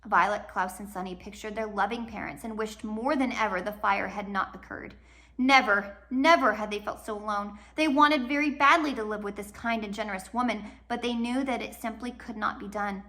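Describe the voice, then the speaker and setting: Bright-voiced woman reading a children's story. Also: mid-range voice, normal speed. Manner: dramatic, with swells and hushed drops. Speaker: storybook reader. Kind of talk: expressive read-aloud narration of a children's novel.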